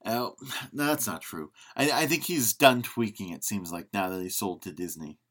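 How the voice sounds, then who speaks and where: A man with a slightly low voice chats casually as a podcast co-host. His delivery is conversational and jokey, like loose film talk between friends. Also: expressive, moderate pace, a bit bright.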